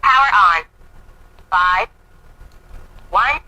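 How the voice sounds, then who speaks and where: A woman's voice: medium pitch, lively intonation, drawn-out words, sharp tone, highs cut off, exaggerated voice. A woman voicing the automated prompts of a walkie-talkie in clipped announcements through a small radio speaker. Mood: flat announcing. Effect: small radio speaker playback.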